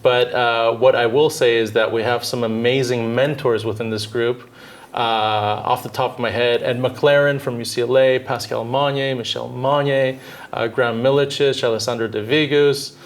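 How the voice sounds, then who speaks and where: A man in his thirties from Southern California, a dentist answering interview questions in a measured, thoughtful way with frequent filler pauses. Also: mid-range voice, level tone. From Southern California, United States. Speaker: dentist and orthodontist guest.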